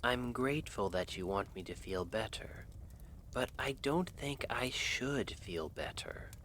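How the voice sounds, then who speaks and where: A man playing a brooding, devoted assassin in an intimate ASMR roleplay, speaking softly and formally, often in a half whisper. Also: medium pitch, expressive, a little slow, slight background noise. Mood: troubled. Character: lovestruck male assassin, brooding, earnest, devoted.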